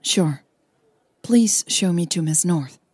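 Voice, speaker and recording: A woman's voice: fairly low pitch, lively intonation, slow, darkish tone, breathy edge, dry recording, heavily acted. A woman voicing a curt, cool businesswoman with a clipped manner in an audio story. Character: curt, cool young businesswoman, privately exasperated.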